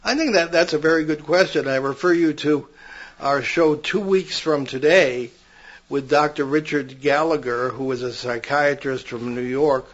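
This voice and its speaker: A man's voice: fairly high pitch, moderate pace, lo-fi sound. An older man co-hosting a paranormal podcast, telling long stories with frequent restarts in casual chat. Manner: rambling, many restarts, storytelling.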